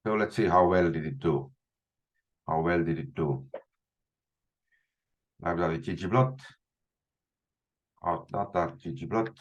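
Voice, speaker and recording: A very deep-voiced man with an Estonian accent leads a live online coding lesson from a small room at home. He thinks aloud as he works, restarting and repeating words often. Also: lively intonation, slow, pauses often, muffled recording. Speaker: instructor.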